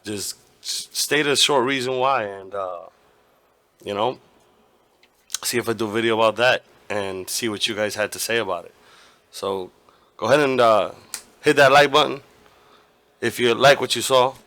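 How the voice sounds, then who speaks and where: A hoarse man hosting a gaming channel, giving his opinion casually, rambling and restarting often and swearing now and then. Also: mid-range voice, moderate pace, pauses often, bright, forceful tone, no room sound. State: hoarse, partly lost voice.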